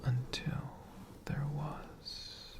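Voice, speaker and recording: A storyteller whispering a tale for ASMR in a hushed, breathy voice with slow, dramatic pauses. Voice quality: breathy whisper with creaky edges.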